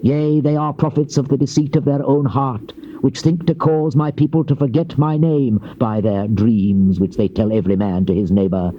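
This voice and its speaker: A man's voice: medium pitch, fairly even intonation, close to an everyday voice. A British actor playing a stern Old Testament prophet, declaiming judgment solemnly in a dramatized King James Bible reading. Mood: accusing, solemn. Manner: solemn, declamatory, archaic phrasing with drawn-out endings. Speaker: scripture narrator voicing a prophet. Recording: muffled, noisy old cassette tape.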